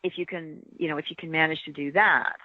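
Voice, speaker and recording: A woman's voice: low voice, steady tone, brisk, muffled recording. A woman speaking earnestly and emphatically from experience, giving practical advice in a casual panel chat. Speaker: podcast guest, experienced hunter.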